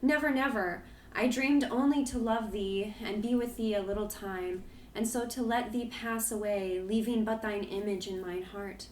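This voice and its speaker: A man voicing a gentle, sorrowful young woman in an audiobook reading, pleading softly. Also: high-pitched, steady tone, normal speed, hardly any pauses, reverberant, slight background noise, voice pushed up, natural voice, poor audio. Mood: anguished. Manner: pleading, soft and tremulous.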